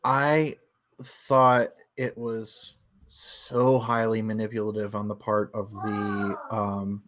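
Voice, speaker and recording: A man's voice: on the low side, flat delivery, slow, a bit bright. Man talking casually, thinking as he goes. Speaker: book discussion host. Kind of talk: casual book discussion on a livestream.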